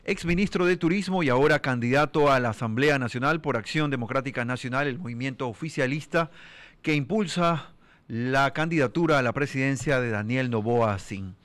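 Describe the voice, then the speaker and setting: A man hosting a radio news program, asking questions in a formal, measured way. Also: mid-range voice, a bit bright. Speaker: radio host.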